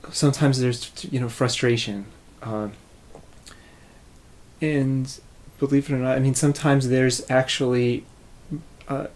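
Thoughtful man with mid-pitched voice. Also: a little mellow. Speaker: adoptive parent, interviewee. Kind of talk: reflective documentary interview. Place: living room, indoors.

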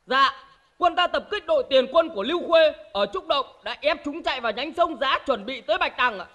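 An actor playing an anxious, deferential soldier reporting urgently to his lord in an animated historical film. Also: very high-pitched, brisk, exaggerated voice. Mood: dutiful.

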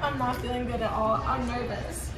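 A young woman with a high voice talks in a nervous, dramatic way and laughs as she goes. She is recorded in a roomy, echoing space. A crowd chatters clearly behind her. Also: level tone, normal speed, heavy background noise. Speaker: friend.

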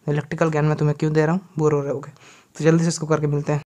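A man's voice: fairly high pitch, completely flat delivery, racing, dark tone. A man in his twenties in casual vlog talk to the camera, chatty and joking as he explains what he is doing, recorded on a phone held at arm's length in a small bedroom. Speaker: vlogger. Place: small bedroom at home, indoors.

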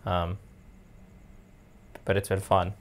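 A young man in his twenties, losing his voice, rambling in a relaxed way as host of his own podcast from his bed at home. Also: deep voice, slow. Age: 20s. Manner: relaxed, meandering, many fillers. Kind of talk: casual solo podcast monologue.

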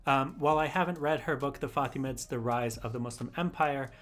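A man's voice: medium pitch, fairly quick, fairly bright tone. A man reading his own essay aloud as scripted narration. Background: instrumental music.

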